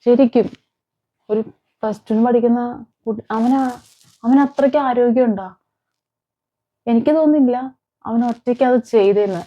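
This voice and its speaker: Female crime vlogger, soft dark timbre, insistent rhetorical delivery, slightly muffled lo-fi audio. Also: a little high, moderate pace, pauses often. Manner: emphatic, repeats phrases and asks rhetorical questions. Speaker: vlogger, host. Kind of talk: opinionated crime commentary to viewers.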